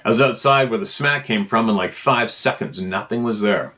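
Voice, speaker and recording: A man narrates a written first-person account. His voice sits a little low, and he reads informally, as someone sharing emails from listeners. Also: fairly quick, bright, forceful tone. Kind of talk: informal read-aloud of a first-person story.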